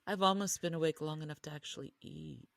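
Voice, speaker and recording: A woman's voice: low-pitched, fast, gravelly, dry recording. A woman co-hosting a podcast, talking in a casual and reflective discussion style. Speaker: podcast co-host.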